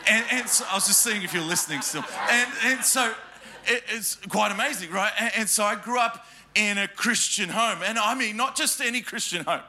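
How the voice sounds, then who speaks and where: High, bright Australian preacher. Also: male voice, normal speed, a little hiss or hum. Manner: emphatic, questions the congregation, jokes with them. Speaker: pastor. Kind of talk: sermon with personal stories. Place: church hall before a congregation.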